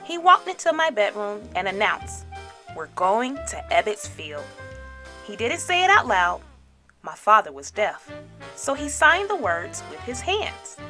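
Bright, animated woman reading a children's story. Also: medium pitch, measured pace, faint music behind. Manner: animated, dramatic phrasing. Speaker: read-aloud storyteller. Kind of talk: expressive children's story narration.

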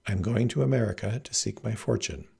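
A man reads as a determined young farm lad in a storybook romance. His voice is mellow and very creaky, his delivery plain, urgent and resolute. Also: fairly low pitch, fairly quick, exaggerated voice. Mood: earnest resolve. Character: earnest, determined young farm boy in love.

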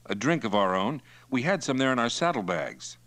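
Man acting a wary, wry captive patrolman, forceful voice. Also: medium pitch, sing-song, moderate pace, voice pushed up. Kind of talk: acted dialogue in audiobook narration.

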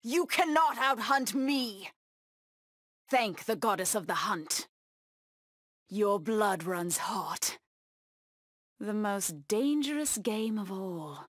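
An actress voicing a fierce, bloodthirsty feline huntress in a video game, with a menacing, haughty delivery. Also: female voice, mid-range voice, animated, takes it slowly, pauses often, a bit bright, no room sound, clean studio sound. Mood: fierce, exultant. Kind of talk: video game voice acting, short combat taunts.

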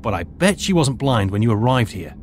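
A man's voice: medium pitch, very animated, a little fast, slight background noise, natural voice. A man playing a middle-aged retired archaeologist turned teacher who lays things out in a precise, lecturing way. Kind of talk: audio drama acting.

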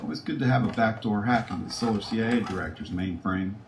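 A man's voice: on the low side, quick pace, natural voice. A man playing a foul-mouthed, paranoid, scheming scientist in a theatrical sci-fi audio drama, talking with gloating menace. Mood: irritated, smug. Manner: muttering to himself, theatrical, swinging between glee and panic. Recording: roomy echo with background noise.

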